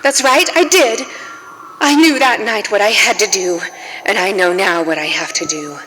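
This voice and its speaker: An actress playing a detective's bitter, wounded former lover in a noir radio drama, deliberate, reproachful and melodramatic. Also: female voice, mid-range voice, pushed and bright, close to an everyday voice. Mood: grim resolve. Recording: long hall reverb, faint background noise.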